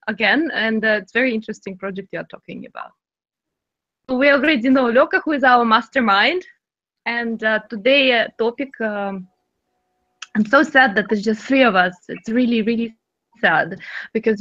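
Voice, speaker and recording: A woman's voice: medium pitch, fairly bright tone, no echo. Woman running an english club call, chatty, laughs a lot, dull dry audio. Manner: friendly, laughs often, fillers. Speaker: speaking club host. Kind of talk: casual chat, leading discussion.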